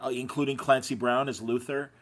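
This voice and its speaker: Male podcast host, medium pitch, enthusiastic, anecdotal, casual chat. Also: little pitch movement, a little fast, a bit bright.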